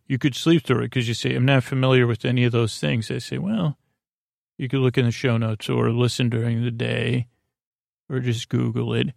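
A man hosting a podcast with a meandering, halting bedtime monologue, often losing his thread as he goes. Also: mid-range voice, vocal fry, no room sound, studio quality. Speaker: podcast host. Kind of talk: rambling, improvised bedtime monologue.

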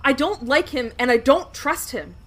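A woman's voice: sits high, sing-song, moderate pace, a bit bright, full character voice. An actress playing a distraught, angry woman in an audio drama, accusing and insistent. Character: distraught, angry sister of a missing girl.

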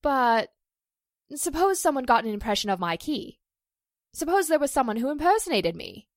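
A woman playing a distressed, pleading stage actress in a 1940s-style radio drama, halting and emotional. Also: high voice, very animated, no room sound, studio quality. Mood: anxious. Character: distressed stage actress accused of a publicity stunt, pleading, wounded.

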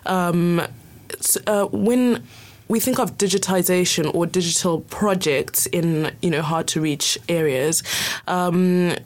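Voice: medium pitch, slow. An interviewer asking questions and often giving brief affirmations.

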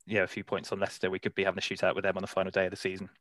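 A man with a low, fairly flat voice co-hosting a podcast. He chats eagerly but stumbles, repeating words as he goes. The recording is dry. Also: racing, dry recording. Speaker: podcast co-host. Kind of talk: casual chat.

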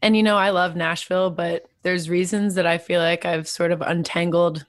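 A woman's voice: lowish voice, steady tone, moderate pace, no room sound. A young woman from Texas interviewing a fellow musician, asking thoughtful, probing questions. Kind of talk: conversational interview.

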